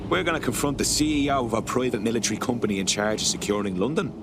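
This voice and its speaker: A man's voice: mid-range voice, animated, quick pace, noisy background, natural voice. A man playing a sceptical, blunt resistance recruit in a video game cutscene, speaking with sarcasm. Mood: sarcastic.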